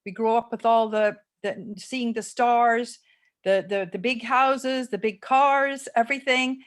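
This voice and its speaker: A woman's voice: on the high side, steady tone, a little slow. A woman around seventy, originally from England and Los Angeles and later Ireland, chatting easily as a guest over a video call, rambling through stories with easy laughs. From born in England, raised in Los Angeles, later Ireland. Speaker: guest, homeopath and former nurse-midwife. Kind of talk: casual interview chat.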